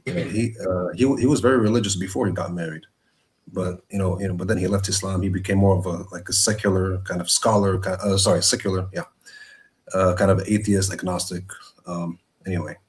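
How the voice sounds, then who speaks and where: A man from Morocco telling his personal story as a guest, casually and with a halting, hesitant delivery. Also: sits low, fairly even intonation.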